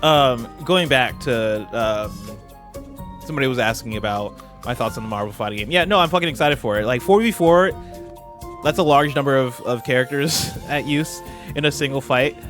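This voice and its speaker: A man's voice: mid-range voice, moderate pace, a bit bright. Male streamer, casual reaction commentary, slangy and foul-mouthed, faint music underneath. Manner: profane, slangy. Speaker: streamer and host. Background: game showcase audio and music.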